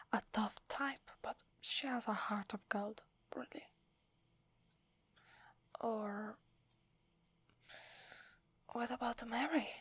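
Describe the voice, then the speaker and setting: A woman with an Italian accent playing a shy, devoted young werewolf in a breathy, hesitant ASMR whisper. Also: mid-range voice, no room sound. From Italy. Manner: hesitant, halting, deferential. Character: devoted, shy young female werewolf serving her alpha.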